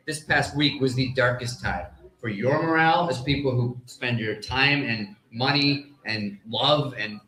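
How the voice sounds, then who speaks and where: A man speaking as a sports reporter on a live panel, careful and measured, often restarting his sentences. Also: medium pitch, bright, forceful tone. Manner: measured, careful, halting with repeated starts. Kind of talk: live panel discussion, analysis. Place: live convention stage. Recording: echoey live convention room.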